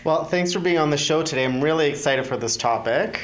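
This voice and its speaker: A man's voice: on the high side, a little fast, muffled recording, a little hiss or hum. A man hosting a podcast, introducing his guest in a friendly, eager way. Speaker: podcast host. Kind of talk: casual interview hosting.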